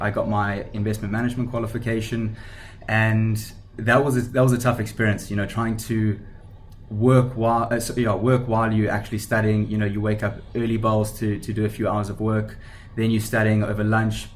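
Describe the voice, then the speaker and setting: A man's voice: fairly low pitch, slight background noise. A man in his thirties from South Africa with a South African accent, a startup founder talking through his career as a podcast guest, with frequent ums and restarts. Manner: hesitant, many ums and restarts. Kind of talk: conversational podcast interview.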